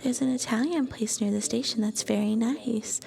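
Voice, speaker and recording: A woman plays an affectionate, bashful young student girlfriend in an intimate ASMR audio drama. Her voice is high and mellow, with a noticeable creak at the edges. She talks softly and hesitantly, often dropping to a breathy whisper. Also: moderate pace. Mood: gentle, musing. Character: shy, sweet, affectionate young Japanese university student girlfriend. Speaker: ASMR roleplay performer. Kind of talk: soft-spoken ASMR girlfriend roleplay.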